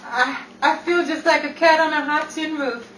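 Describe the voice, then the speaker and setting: Distant, roomy sound. Actress playing playful 1950s Hollywood glamour girl, high voice, radio comedy. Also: steady tone, moderate pace, fairly bright tone. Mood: theatrical, playful. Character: glamour-loving, playful bride-to-be Betty, 1950s Hollywood gal. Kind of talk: old-time radio comedy acting. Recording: roomy, distant, noisy with crowd behind.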